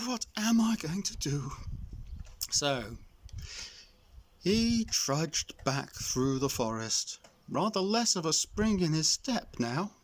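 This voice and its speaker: A man narrating a children's story in an expressive, deliberate storytelling manner. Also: fairly high pitch, a little hiss or hum.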